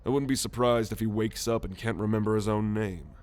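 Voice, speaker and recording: Guy doing a low voiced grumpy alien crewman, sardonic and fed up, audio roleplay. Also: fairly even intonation, fairly quick, a little mellow, natural voice. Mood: irritated, sardonic. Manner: sardonic, grumbling, swearing. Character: grumpy, cynical, world-weary male alien spaceship crewman. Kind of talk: ASMR roleplay audio drama.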